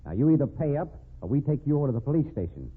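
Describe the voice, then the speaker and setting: A man's voice: medium pitch, very animated, very fast, slightly rough. An actor playing a shrewd, hard-boiled man with a wry, taunting tone in an old-time radio crime drama. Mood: pressing. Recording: tinny band-limited old radio recording.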